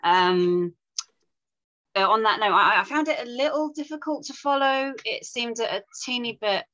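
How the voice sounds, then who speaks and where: A woman's voice: fairly high pitch, normal speed, pushed and bright, highs cut off. A woman giving informal script feedback as a panel reader, tentative and tactful in how she words it. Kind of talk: informal spoken critique.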